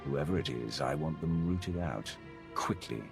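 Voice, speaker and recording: A man's voice: a bass voice, animated, a little slow, gravelly, full character voice. An actor playing a grim, hardened male military commander in a video game, suspicious and resolute, stern and terse. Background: music.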